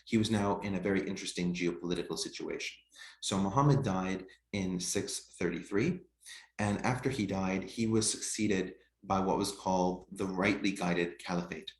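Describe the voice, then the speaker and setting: A man giving an online history lecture, explaining in a measured way to his class. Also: deep voice, roomy sound.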